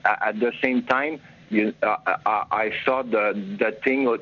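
A man from Montreal calling in to a radio show, telling his story haltingly, with many stammers and restarts. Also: lowish voice, steady tone, muffled recording. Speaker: caller. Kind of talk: phone call-in story.

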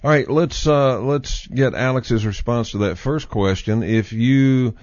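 A man's voice: medium pitch, a little slow, a little mellow, dry recording, muffled recording. A man with a Texas accent hosting a call-in talk show, hesitant at first and then emphatic. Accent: Texan. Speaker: host. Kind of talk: casual chat on a call-in show.

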